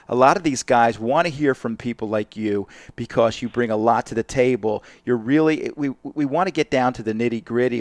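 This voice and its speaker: A man's voice: medium pitch, no echo. Chatty male radio host, dry studio. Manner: chatty, rambling, restarts often. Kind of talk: radio interview.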